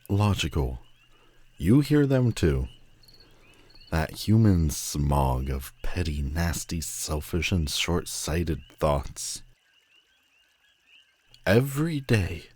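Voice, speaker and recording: A man's voice: sits low, animated, slow, long pauses, a little mellow, husky, heavily acted. A man playing a telepathic supervillain in a roleplay audio drama, commanding and wry yet protective, speaking intimately straight to the listener. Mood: exasperated, scornful.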